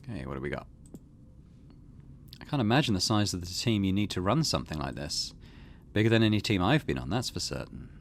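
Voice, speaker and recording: Casting: male gaming commentator, casual and musing, animated pitch movement, faint noise in the recording. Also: a little fast, pauses often. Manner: musing, conversational. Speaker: let's play host. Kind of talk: casual game commentary, reading text aloud.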